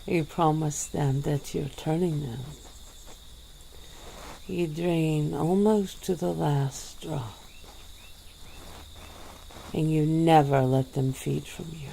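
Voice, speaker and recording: A woman voicing a centuries-old female vampire in an ASMR roleplay, speaking in a slow, drawn-out, purring way. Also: steady tone, vocal fry, a little hiss or hum, heavily acted, rough recording. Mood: languid. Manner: slow, low, drawn-out purring delivery with soft laughs.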